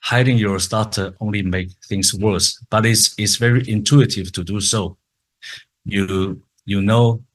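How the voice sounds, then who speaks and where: A man with a very low voice, speaking slowly and carefully in English. His is Chinese, and he stutters, repeating words and the starts of sounds. He is giving a talk at a conference. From Taiwan. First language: Mandarin Chinese.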